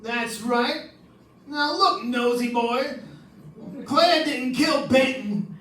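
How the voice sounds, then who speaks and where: A woman's voice: high-pitched, extremely slow, fairly bright tone, exaggerated voice. A woman playing a defensive, menacing shady business owner in an old-time radio crime drama, with tough, clipped delivery. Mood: defensive, threatening. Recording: distant, echoey stage with background noise.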